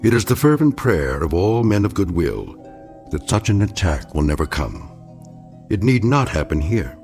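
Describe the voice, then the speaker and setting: A man narrating an eerie radio drama in a solemn, deliberate, theatrical manner. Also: deep voice, sing-song, a little mellow, faint music behind. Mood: grave, ominous. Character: grave, portentous male narrator of an eerie tale.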